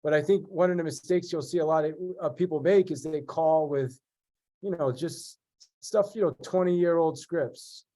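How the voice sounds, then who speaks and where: A man's voice: on the high side, moderate pace. A middle-aged man with a congested voice from a cold, speaking directly with lots of restarts as an interview guest. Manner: direct, energetic, with many restarts. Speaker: guest real estate agent and sales trainer. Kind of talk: conversational interview.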